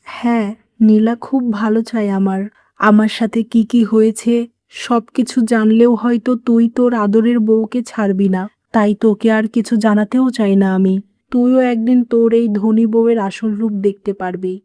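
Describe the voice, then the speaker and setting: A woman's voice: a little high, steady tone, measured pace, warm tone, no room sound, close to an everyday voice. A woman playing a remorseful, wounded elderly mother-in-law in a cartoon moral story, speaking in a plaintive, self-blaming way. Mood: bitter, hurt.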